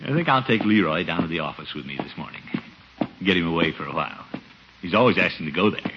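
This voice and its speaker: An actor playing a pompous, put-upon middle-aged uncle in a 1950s radio comedy, blustering and muttering asides. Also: male voice, deep voice, animated, fast talker. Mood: coaxing. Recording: noisy, dull 1950s radio recording.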